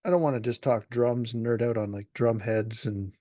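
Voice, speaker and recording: Relaxed guy hosting a podcast, lots of ums and uhs, dead dry close sound. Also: medium pitch, normal speed, dry recording. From New Brunswick, Canada. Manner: easygoing, many ums and uhs, laughs often. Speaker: podcast host. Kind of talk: casual interview chat.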